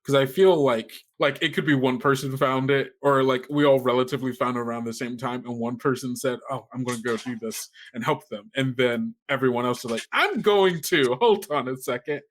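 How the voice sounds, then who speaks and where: A man's voice: medium pitch, brisk, few pauses, slight background noise. A man planning a tabletop game story casually with friends, often restarting his thoughts as a podcast player. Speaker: player in an actual-play podcast.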